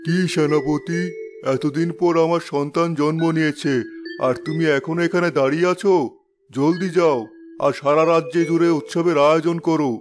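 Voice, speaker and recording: Man playing a high-pitched fairy tale king. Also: fairly even intonation, moderate pace, faint music behind, close to an everyday voice. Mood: commanding. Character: concerned, commanding fairy tale king and new father. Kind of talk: fairy tale animation voice acting.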